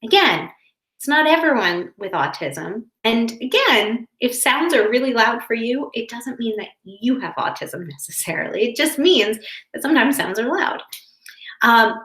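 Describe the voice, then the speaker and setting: Higher-voiced woman teaching children, roomy echo. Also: expressive, moderate pace, a bit bright. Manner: simple, careful explaining to young children. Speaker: teacher reading a picture book to children. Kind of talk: children's read-aloud with explanatory asides. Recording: roomy, echoing indoor sound.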